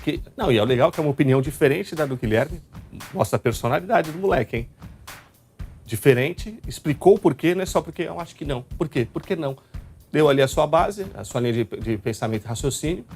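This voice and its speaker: Male live football show host, Brazilian accent from São Paulo state, rapid casual delivery, music underneath. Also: on the high side, animated, gravelly, a little mellow. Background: background music.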